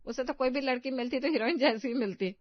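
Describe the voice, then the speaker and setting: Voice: high-pitched, fast talker, dry recording, dull audio, natural voice. A performer voicing a proud, jealous middle-aged mother-in-law who dotes on her son. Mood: boastful.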